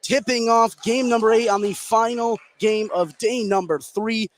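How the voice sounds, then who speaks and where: A man with a fairly high voice gives live basketball play-by-play for radio. He is courtside in a gym, with clear noise all around him. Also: steady tone, measured pace. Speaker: play-by-play sports commentator. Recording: clearly noisy gym ambience.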